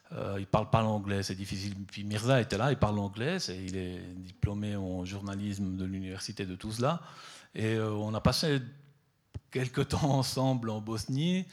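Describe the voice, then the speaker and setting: Hesitant man with a low voice. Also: a little mellow. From southern Italy. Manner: halting, many fillers and repeated words. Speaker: photographer. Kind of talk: hesitant conversational talk at an exhibition.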